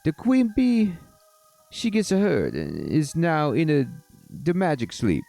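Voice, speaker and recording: A man voicing a streetwise, chummy male fairy in a video game, with an exaggerated mock Italian accent and a halting, theatrical delivery. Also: on the high side, huge pitch swings, creaky, mellow, dry recording, soft background music, pitched above the performer's own voice, full character voice. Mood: confiding.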